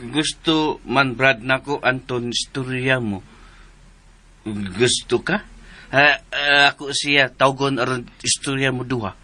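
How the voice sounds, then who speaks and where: Stammering childlike grown son, very bright. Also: male voice, mid-range voice, fairly even intonation. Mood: puzzled, friendly. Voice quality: labored, stammering articulation. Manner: halting, repeating syllables. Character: slow-minded, childlike, eager grown son in love. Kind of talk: radio drama acting.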